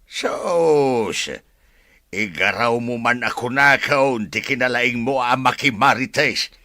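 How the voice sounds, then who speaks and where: A man's voice: mid-range voice, slow, pushed and bright, no room sound, studio quality. A man acting as an evasive, defensive husband and son in an audio drama, brushing off questions with exasperation.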